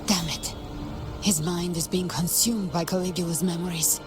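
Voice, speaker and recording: A woman's voice: lowish voice, steady tone, normal speed, natural voice. A woman playing a determined young companion in a fantasy game battle, urgent and emphatic. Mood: alarmed. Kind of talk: dramatic video game voice acting. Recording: loud music over the voice. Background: loud game music.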